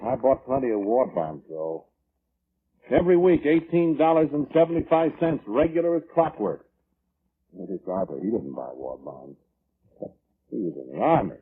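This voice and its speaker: A grumbling, self-justifying witness in a vintage radio drama. His husky voice swings widely in pitch as he defends himself indignantly. The recording is muffled, like old radio. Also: sing-song, moderate pace, pauses often. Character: self-justifying, grumbling, selfish man testifying at an inquest.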